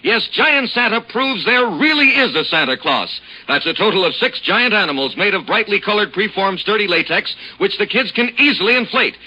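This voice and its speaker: A man reading a hard-selling, brisk old radio advertisement. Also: high voice, expressive, sharp tone, dull audio. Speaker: radio ad announcer.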